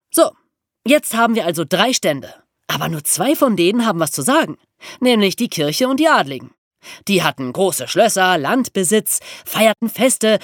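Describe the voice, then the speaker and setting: A woman explaining things as a podcast host in a lively educational storytelling style. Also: medium pitch, no echo, clean studio sound.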